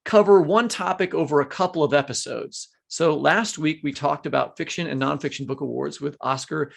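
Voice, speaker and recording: Male podcast co-host, higher register with heavy vocal fry, conversational and jokey. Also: moderate pace.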